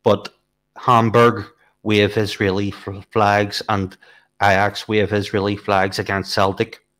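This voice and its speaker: A man with a lowish voice raises his points very slowly and carefully. He often pauses on fillers as he builds up a pointed question. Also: level tone, a bit bright. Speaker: political podcast co-host. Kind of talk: casual political discussion.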